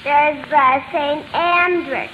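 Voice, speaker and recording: Slow, very high-pitched little girl on radio. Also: fairly even intonation, highs cut off, faint music behind. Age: child, about five. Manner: halting, stammering answers. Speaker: quiz contestant. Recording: hissy old radio broadcast.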